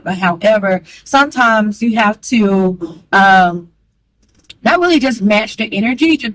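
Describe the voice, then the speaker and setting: Woman vlogger, strong voice, quick candid delivery, mid-pitched, dry room. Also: dry recording, rough recording. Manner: chatty, candid, calls listener girl; fast talker. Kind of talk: casual talk to camera.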